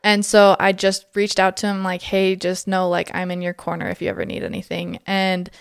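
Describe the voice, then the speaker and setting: Young woman, twenties, podcast guest; smooth, dry-sounding voice, chatty storyteller. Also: mid-range voice, a little fast, no echo. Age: 20s. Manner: chatty, lots of "like" fillers. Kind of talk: casual storytelling.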